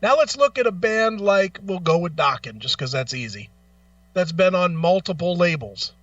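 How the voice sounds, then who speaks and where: Male talk host, high voice, profane and exasperated opinion delivery, dull dry recording slightly off-mic. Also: big pitch swings, normal speed, a bit bright, no echo, recorded from a distance. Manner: blunt, profane, exasperated, emphatic. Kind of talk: opinionated casual radio talk.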